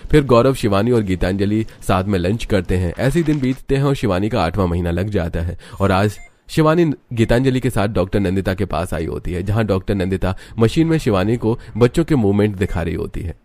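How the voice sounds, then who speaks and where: A man's voice: lowish voice, expressive, a little fast, few pauses, darkish tone. A man narrating a scripted story in the style of a storybook.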